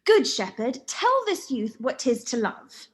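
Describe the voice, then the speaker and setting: A woman's voice: a little high, very animated, a little slow, breathy edge, exaggerated voice. A woman playing a proud, smitten young shepherdess in a Shakespearean radio play, dramatic and petulant. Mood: lovestruck.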